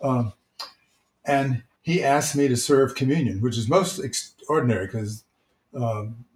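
A man who is a church elder, gently telling stories from his church life in casual, reflective talk. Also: medium pitch, unhurried. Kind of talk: casual storytelling. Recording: roomy, echoey sound.

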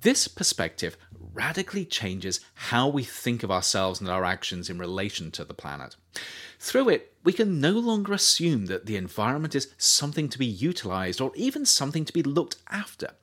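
A man reading his own nonfiction book aloud as audiobook narration, measured and thoughtful, lifting his voice on key phrases. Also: mid-range voice, fairly bright tone.

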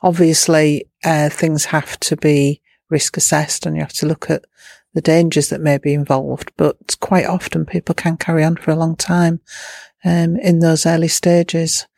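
A woman's voice: sits low, little pitch movement, a little slow, creaky, darkish tone, no echo, clean studio sound. A woman charity manager answering interview questions in a plain-spoken way, with frequent fillers and restarts.

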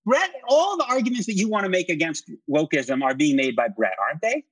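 A middle-aged man with a fairly high, husky voice argues politics as an interview guest. He is insistent and halting, doubling back on phrases to press his point. The sound is close and dry. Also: sing-song, no room sound. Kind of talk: heated political debate.

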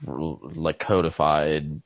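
A man co-hosting a podcast, working through his thoughts on literature in a casual, halting, amused way. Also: a bass voice, flat delivery, no echo. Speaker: podcast co-host. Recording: lo-fi, dry close recording.

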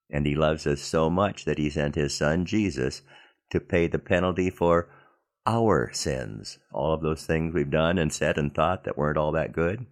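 Really low gravelly guy doing a podcast devotional, slow and plain spoken, talks right to you. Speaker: podcast host giving a devotional. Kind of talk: devotional monologue.

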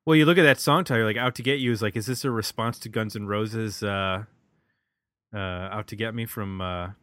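A man's voice: on the low side, steady tone, fairly quick, fairly bright tone, no echo. A man hosting a podcast, chatting casually as he reviews music. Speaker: podcast host.

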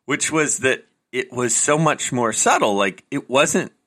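Man with a bright, forceful voice. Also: mid-range voice, unhurried. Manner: opinionated, animated, trails off into restarts. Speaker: podcast co-host. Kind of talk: casual chat.

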